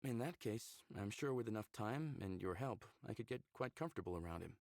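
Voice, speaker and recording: A man's voice: lowish voice, animated, fairly quick, gravelly, a little mellow, no echo, clean studio sound. An actor playing a curious, candid human man in a visual novel, talking casually and a little flirtatiously. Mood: candid, teasing.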